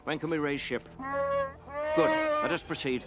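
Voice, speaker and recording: A man playing a wise, wary old mentor in a radio drama, speaking in a measured, formal and courteous way. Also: on the high side, huge pitch swings. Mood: wary, genial. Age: older. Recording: music behind the voice.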